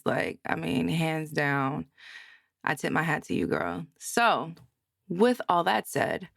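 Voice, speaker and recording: A woman's voice: low voice, gravelly, fairly bright tone, no room sound, studio quality. A woman hosting a podcast, introducing her guest with enthusiastic praise. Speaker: podcast host.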